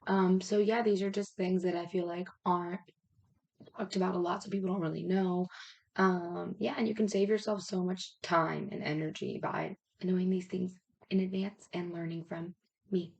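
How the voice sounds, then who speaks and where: Raspy, lower-voiced woman coaching casually. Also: flat delivery, normal speed, a little mellow. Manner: informal, rambling, explains step by step with check-ins. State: raspy, losing her voice. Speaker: fitness and health coach.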